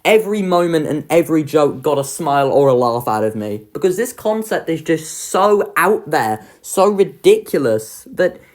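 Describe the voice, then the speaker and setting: Animated young reviewer, very varied pitch. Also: moderate pace, hardly any pauses. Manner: informal, swearing, enthusiastic. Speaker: video creator ranking children's pantomimes. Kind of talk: casual review ranking commentary.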